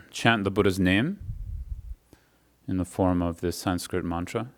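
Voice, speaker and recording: Slow, deep-voiced man teaching Buddhism. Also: vocal fry. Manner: slow, soft-spoken meditation guidance; chants mantra slowly and drawn out. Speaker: guest speaker on Buddhism. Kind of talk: guided meditation and chanting, then lecture. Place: meditation hall at a religious society.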